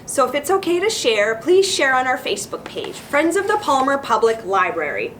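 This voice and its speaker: A woman with a fairly high voice leads a casual craft demonstration for children. She talks in an informal, encouraging way as she explains each step. Noticeable background noise with a low rumble sits behind her voice. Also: sing-song, moderate pace, a bit bright. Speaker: children's librarian hosting a craft session.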